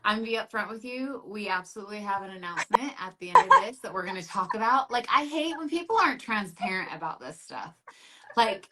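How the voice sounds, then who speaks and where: Brief: chatty, bubbly woman host, casual livestream, video-call sound, mid pitch. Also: expressive, fast, background noise. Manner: bubbly, chatty, laughs often. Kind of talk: casual chat.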